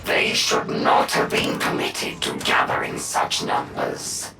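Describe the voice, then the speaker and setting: An actor voicing a cold, menacing zealous soldier in a video game, with gravelly, forceful and stiffly formal speech. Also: male voice, slow, loud music behind the voice, close to an everyday voice. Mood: stern displeasure. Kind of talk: video game combat barks.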